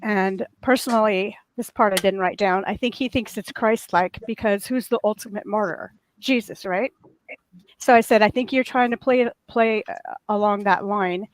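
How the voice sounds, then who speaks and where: Woman panelist, soft, slightly raw voice, earnest long-winded storyteller, dry sound. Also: mid-range voice, moderate pace, no echo, poor audio. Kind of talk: casual group chat, storytelling.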